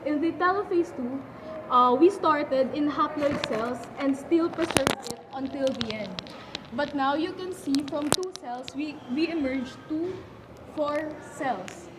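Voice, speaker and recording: A woman giving a halting scripted explanation as a presenter in a puppet show. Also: high-pitched. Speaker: student presenter playing a doctor. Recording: clearly noisy amateur recording.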